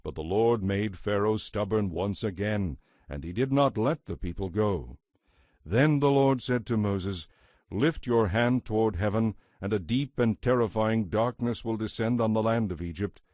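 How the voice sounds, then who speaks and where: A man narrating scripture from a script as a solemn, measured storyteller. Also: on the low side, a little mellow, dry recording, studio quality.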